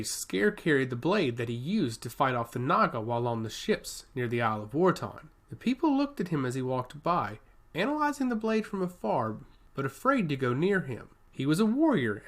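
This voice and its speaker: A man reading a scripted fantasy story aloud as its narrator. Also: medium pitch. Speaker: audiobook narrator.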